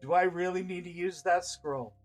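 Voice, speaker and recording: A man's voice: high voice, normal speed, soft background music, natural voice. A man voicing a brusque, exasperated female guild leader in a story, curt and commanding. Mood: weary.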